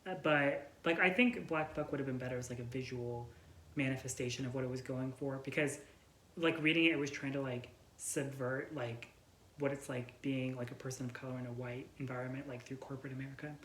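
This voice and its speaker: Low-voiced young woman, bright, echoey room. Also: steady tone, a little fast. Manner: informal, hesitant, heavy use of "like". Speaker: book reviewer co-hosting a book tag chat. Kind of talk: casual chat. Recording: roomy echo, some background noise.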